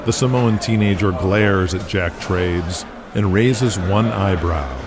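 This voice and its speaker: A man's voice: deep voice, slow, a crowd in the background. A man narrating an audio drama in scripted, present-tense storytelling style. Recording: music playing behind the voice. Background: music.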